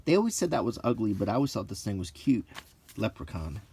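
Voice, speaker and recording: A middle-aged man giving halting, offhand commentary as he leafs through books, listing items one by one with casual opinions. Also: fairly low pitch, very animated, dark tone, slight background noise. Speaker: presenter of a hobby video. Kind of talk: unscripted casual commentary while leafing through books, unintentional ASMR.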